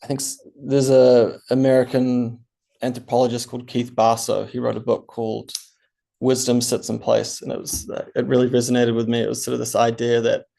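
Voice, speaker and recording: A man's voice: mid-range voice, completely flat delivery, a little fast, creaky, warm tone. A man writer on a panel, talking hesitantly with many ums and restarts. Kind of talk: panel discussion answer.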